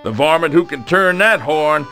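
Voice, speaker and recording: A man's voice: a little high, lively intonation, normal speed, over music, lightly acted. A man playing a folksy western balladeer, telling a grim gold-rush legend in dramatic rhyme. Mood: ominous, folksy. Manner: rhyming, folksy, dramatic storytelling.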